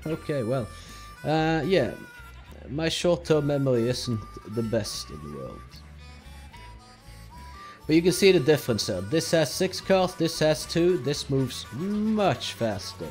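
A man's voice: a little high, slow pace, faint music behind. Norwegian man explaining his gameplay. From Norway. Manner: relaxed, explaining step by step with fillers. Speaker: let's play host. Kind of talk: casual gameplay commentary.

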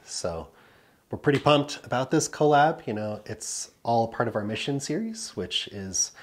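A man in his thirties giving a casual, unscripted product presentation as a brand representative, chatty and conversational with frequent filler phrases. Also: fairly low pitch. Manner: conversational, peppered with "you know". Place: indoor showroom with wooden pallet wall.

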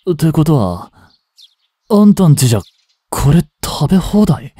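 Brash young male delinquent played by a man for audio drama: dark, mellow tone, swinging intonation, defiant and teasing. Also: fairly high pitch, big pitch swings, a little slow, husky, no room sound, studio quality, full character voice. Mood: surprised, tempted. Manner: brash, slangy tough-guy talk, drawling. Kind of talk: Japanese audio drama acting, one-sided dialogue to the listener.

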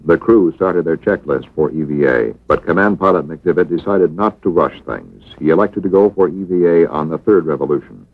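A man reads scripted documentary narration in a measured, matter-of-fact manner. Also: low-pitched. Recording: dull vintage 1960s film soundtrack.

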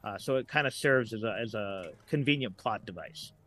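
A middle-aged man talking casually as a podcast guest, a writer who haltingly searches for examples as he goes. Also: on the low side, expressive, bright, forceful tone.

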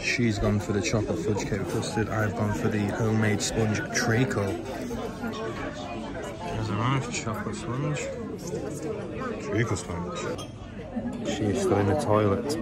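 A man's voice: fairly low pitch, measured pace, pauses often, over a noisy crowd. A young man narrating a casual family vlog, blunt and joking, with casual swearing. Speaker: vlogger. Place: car and home, family outings.

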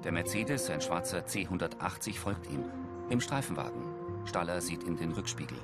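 A man with a low voice narrates screen action as audio description. His delivery is precise and factual, with a mobile intonation, and music plays clearly underneath. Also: very animated, moderate pace. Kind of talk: scripted present-tense narration of screen action. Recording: film soundtrack and music underneath.

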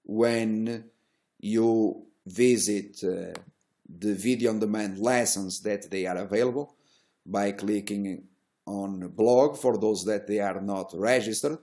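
A middle-aged man who is not a native English speaker, giving unscripted market commentary in halting fragments with drawn-out hesitations and a self-assured manner. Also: on the low side, dark tone. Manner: self-assured, boastful about results. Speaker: stock trading educator and host. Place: small indoor room.

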